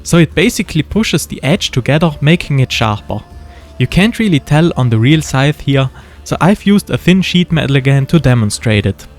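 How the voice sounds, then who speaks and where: A man with a German accent narrates his model-building project in a relaxed, wry voice-over, slipping in dry jokes. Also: medium pitch, normal speed. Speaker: model-building video creator. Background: faint background music.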